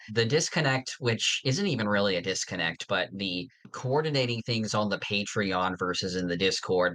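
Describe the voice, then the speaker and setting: A distinctly low voice co-hosts a fan podcast in relaxed conversational style. The talk rambles, with slang and occasional mild swearing. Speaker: podcast co-host. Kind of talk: casual fan discussion.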